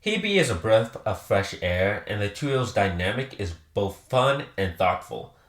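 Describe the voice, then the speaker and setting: A man's voice: on the low side, very animated, measured pace, fairly bright tone, reverberant. A man presenting a scripted countdown review with emphatic, enthusiastic delivery. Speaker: host presenting a ranked countdown.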